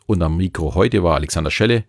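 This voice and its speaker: A man with a Bavarian accent who talks in a loose, rambling way. His voice sits very low and carries a gravelly fry with a husky edge. The recording is close and dry. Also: quick pace, dry recording. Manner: rambling, loose and chatty with many fillers. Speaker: podcast host and hypnotist. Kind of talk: casual podcast talk.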